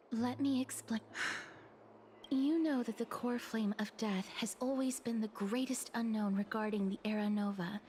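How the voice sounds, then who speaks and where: A woman playing an earnest, gentle young fantasy heroine in a video game, speaking in a measured, soft and solemn way. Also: on the high side, a little hiss or hum. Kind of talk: video game voice acting, scripted drama. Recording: loud background music.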